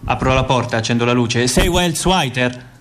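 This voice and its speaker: An actor voices a male assassin in a radio drama. He states each of his moves tersely in an ordinary medium-pitched voice, over faint background noise. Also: moderate pace, a bit bright, lightly acted. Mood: cold, tense. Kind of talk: radio theatre, present-tense monologue.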